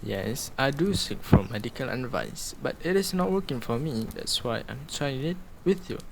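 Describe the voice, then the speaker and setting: A man's voice: mid-range voice, animated, normal speed, creaky, noisy background. An actor playing a manic twenty-year-old male drug addict in an amateur radio drama, rambling and repeating himself erratically. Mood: torn, desperate.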